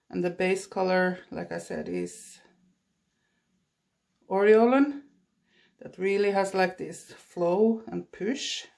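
A woman's voice: mid-range voice, vocal fry, darkish tone. A woman with a Norwegian accent, a native Norwegian speaker, chatting casually through a watercolor painting demonstration while she paints, recorded on a phone, self-deprecating and laughing often. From Norway. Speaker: watercolor artist. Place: indoors at a painting table.

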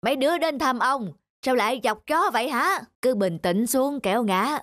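A man playing a genial retired old man, calm, folksy and good-humoured, in an animated story. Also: very high-pitched, moderate pace, a little hiss or hum. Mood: calm, gentle. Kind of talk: animated moral story dialogue.

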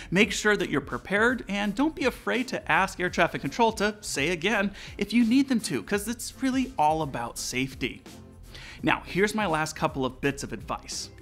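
A high-pitched, lively American man in his forties presents a scripted explainer. His voice is bright and forward, with music playing underneath. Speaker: aviation channel host. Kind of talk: scripted explainer narration to viewers. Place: indoor studio room with aviation decor.

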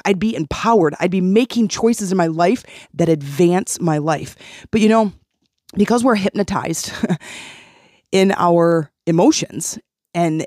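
A woman's voice: on the low side, warm tone, dry recording, studio quality. A woman hosting a healing podcast, talking earnestly and encouragingly, often repeating phrases to press a point. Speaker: podcast host and life coach. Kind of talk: motivational solo podcast talk.

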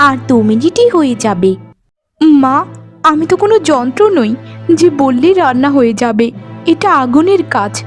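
Woman acting a high-voiced, meek young wife. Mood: flustered pleading. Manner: pleading, deferential, earnest. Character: meek, dutiful young village daughter-in-law. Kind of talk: cartoon voice acting. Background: music.

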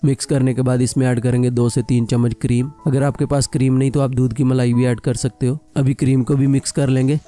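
A man narrating a cooking video voice-over, explaining each step calmly and plainly. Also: medium pitch, brisk, hardly any pauses, soft background music. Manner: calm step-by-step instruction. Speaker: cooking channel host.

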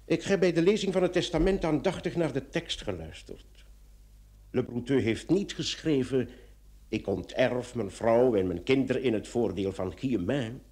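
Guy acting a confident sleuth type in an old radio play, mellow voice, mid pitch, emphatic reasoning. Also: animated, normal speed, close to an everyday voice. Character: methodical, self-assured man reasoning out a murder.

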